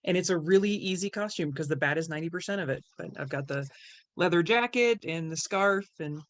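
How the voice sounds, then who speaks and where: Lower-voiced woman in casual chat. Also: brisk. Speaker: costumed participant. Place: video call.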